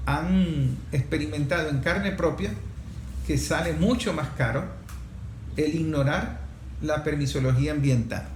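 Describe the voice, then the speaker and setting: A man's voice: fairly high pitch, measured pace, a little mellow, slight background noise. A man with a Venezuelan accent hosting a talk programme, speaking earnestly and repeating phrases for emphasis. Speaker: programme host. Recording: roomy echo, faint music behind.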